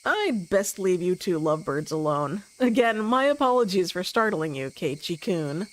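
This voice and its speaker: A woman's voice: on the low side, sing-song, normal speed, voice pushed down, low-quality recording. A woman voicing a polite, teasing adult man from a visual novel, courteous and suggestive.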